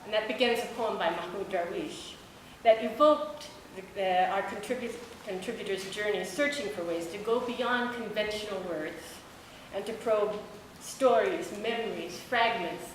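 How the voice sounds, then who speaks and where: Woman giving a talk in an echoey room. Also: mid-range voice. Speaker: book editor speaking at a launch. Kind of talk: prepared public talk, literary introduction. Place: echoey indoor room at a public event. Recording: echoey room recording with clear background noise.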